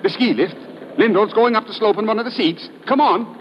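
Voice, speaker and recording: An actor playing an earnest police lieutenant from Oslo in an old radio drama, speaking formally and a little haltingly. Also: male voice, sits high, close to an everyday voice. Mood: urgent. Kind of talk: 1950s radio drama acting. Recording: muffled, faintly noisy old radio recording.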